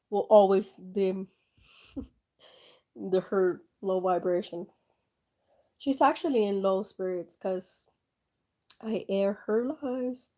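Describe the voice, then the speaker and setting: A woman's voice: medium pitch, dark tone. A woman with a slight German accent, talking casually and rambling with many fillers and restarts as she paints on her own channel. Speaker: art channel host.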